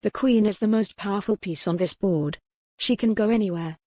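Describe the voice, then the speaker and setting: A woman's voice: mid-range voice, moderate pace, darkish tone, no echo, close to an everyday voice. A woman voicing a remorseful yet determined young noblewoman in a historical romance audiobook. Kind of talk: dramatic audiobook character reading.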